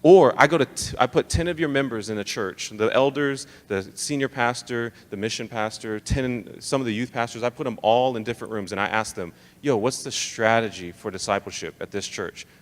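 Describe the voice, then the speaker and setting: A man's voice: mid-range voice, fairly quick, hardly any pauses. Emphatic young American man in a reverberant hall. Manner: emphatic, conversational, slangy, rhetorical questions. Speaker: speaker leading a church leadership training session. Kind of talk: informal live talk to a room of church leaders. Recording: live talk in a reverberant hall.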